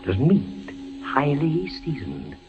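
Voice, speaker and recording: A dull-sounding 1940s radio drama recording with music underneath carries a condemned prisoner, half out of his mind. His halting, theatrical voice swings widely in pitch as he repeats words in dread. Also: wildly swooping pitch, exaggerated voice. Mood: haunted, narrating. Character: feverish condemned male prisoner of the Inquisition, tormented, half-delirious.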